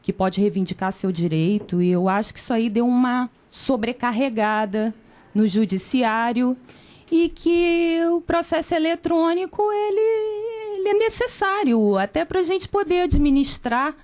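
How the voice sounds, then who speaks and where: A woman with a Brazilian accent, a lawyer speaking in a measured, formal way in a radio interview. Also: high voice, lively intonation, darkish tone. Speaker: lawyer and candidate.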